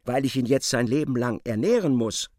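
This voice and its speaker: A man giving expressive, scripted literary narration in a radio play. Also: medium pitch, normal speed, no echo, clean studio sound.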